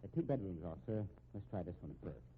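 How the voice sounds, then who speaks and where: Brusque, low, fast-talking radio-crime tough. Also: male voice, very animated. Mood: brisk. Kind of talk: 1950s radio drama acting. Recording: tinny, hissy 1950s radio recording.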